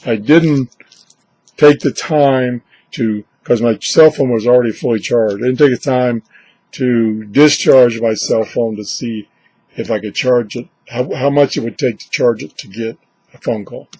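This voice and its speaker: A man's voice: mid-range voice, a little slow, a little mellow, breathy edge, no room sound, rough recording. A man giving a casual, unscripted product review in a conversational way, musing aloud with wry asides. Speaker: product reviewer presenting a gadget.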